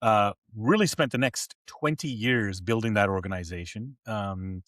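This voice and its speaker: A man's voice: on the low side, measured pace, fairly bright tone, no room sound, clean studio sound. A man in his later years who grew up in Hong Kong, answering interview questions thoughtfully with frequent restarts. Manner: thoughtful, many fillers and restarts. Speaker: podcast guest.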